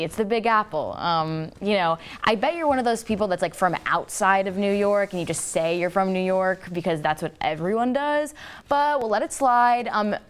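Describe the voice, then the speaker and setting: A young adult woman hosting a casual student talk show, playful and teasing as she jokes her way through quick riffs. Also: medium pitch, a bit bright. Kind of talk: casual chat.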